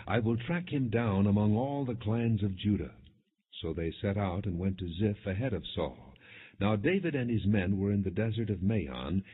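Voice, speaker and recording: A man narrating scripture from a script in a measured, solemn manner, with a husky voice. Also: sits low. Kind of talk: scripted narration, non-dramatized Bible reading. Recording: muffled, phone-like narrow band.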